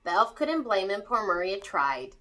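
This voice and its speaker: A woman reading a rhyming children's story aloud with expressive storytelling delivery. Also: medium pitch, moderate pace.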